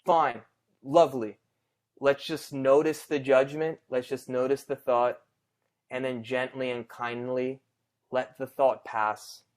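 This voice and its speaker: Guy doing a guided meditation, really smooth clear voice, gentle n unhurried, kinda chatty. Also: medium pitch, flat delivery. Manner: gentle, reassuring, unhurried with long pauses. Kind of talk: guided meditation, conversational and unscripted.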